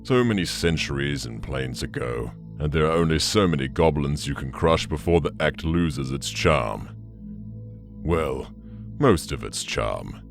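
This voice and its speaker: An actor playing an ancient, bitter demon conqueror in an audio drama, brooding and contemptuous in a menacing monologue. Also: male voice, extremely low pitch, expressive, over music, full character voice. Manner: slow, menacing, brooding delivery.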